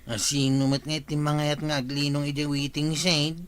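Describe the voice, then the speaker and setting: Man playing an earnest, high-pitched Ilocano village nephew. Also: little pitch movement, moderate pace, fairly bright tone, clear, resonant tone, natural voice. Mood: concerned. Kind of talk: Ilocano radio comedy drama acting.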